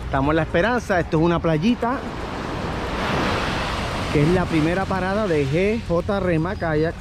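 Entusiasta vlogger puertorriqueño en kayak. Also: male voice, sits high, normal speed, heavy background noise. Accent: Puerto Rican Spanish. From Puerto Rico. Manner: excited, cheering, speaks to viewers. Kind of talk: upbeat travel vlog narration. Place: outdoors on a kayak on open bay water. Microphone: action camera on the kayak, sometimes held at arm's length.

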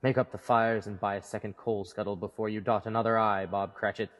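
A man's voice: lowish voice, little pitch movement, brisk, a bit bright, natural voice. A man playing a reformed old miser, now a hearty and courteous uncle, in a Victorian audio drama. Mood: joyful, earnest. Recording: long hall reverb, faint music behind.

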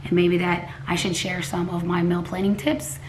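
A woman in her forties from Texas, hoarse, chatting casually to camera at home, rambling with restarts and coughs. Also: lowish voice, flat delivery, moderate pace, a little hiss or hum. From Texas, USA. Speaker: cooking vlogger. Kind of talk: casual vlog talk to camera. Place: living room at home, indoors. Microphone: camera a few feet away at a table.

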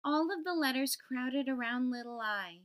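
A woman hosting storytime, reading a children's picture book aloud and enunciating deliberately for young listeners. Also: high-pitched. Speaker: storytime host.

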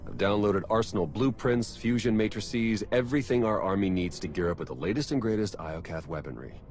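A male actor as an earnest agent in a video game cutscene. His voice sits low, and he speaks plainly and urgently with a wry, wary edge. Faint music runs underneath. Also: a little fast, darkish tone, close to an everyday voice. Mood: focused, wry.

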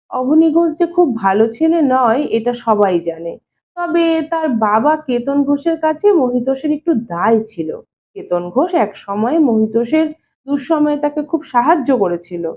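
High-pitched woman reading a story. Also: dull audio. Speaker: audio story reader. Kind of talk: expressive reading of story dialogue.

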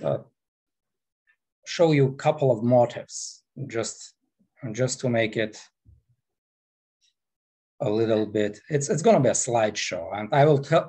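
A man in his forties with an Armenian accent talks through an online presentation in a very slow, halting way. His pitch sits in the middle range, and his speech is broken up by frequent fillers. The sound comes close and direct from a headset microphone in a small indoor room. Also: dark tone, husky. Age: 40s. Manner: unhurried, halting, many fillers. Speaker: guest presenter.

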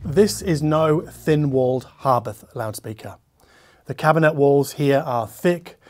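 A man's voice: mid-range voice, a little mellow. A man in his forties giving a casual product review to camera, conversational and emphatic, with asides and self-corrections. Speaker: host, audio equipment reviewer. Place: furnished living-room listening room, indoors.

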